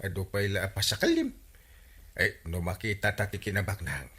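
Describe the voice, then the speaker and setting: Excitable Ilocano village captain, swooping voice. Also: male voice, low voice, a little fast, breathy edge, full character voice. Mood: eager, stubborn. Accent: Filipino, Ilocano. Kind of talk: Ilocano radio comedy drama acting.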